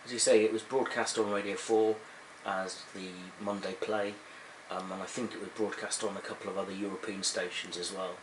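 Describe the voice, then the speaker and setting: Male interviewer, fairly low voice, conversational questions, echoey room, clear background noise. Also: flat delivery, fairly quick, hardly any pauses. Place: at the interviewee's home, indoors. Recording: roomy, noisy home interview recording.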